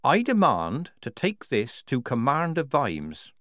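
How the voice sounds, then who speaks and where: A man's voice: medium pitch, wildly swooping pitch, takes it slowly, fairly bright tone, no room sound, pitched above the performer's own voice, exaggerated voice. A man voicing a young woman soldier in a storybook, plain-spoken and stubborn, with an understated, dry, firm manner.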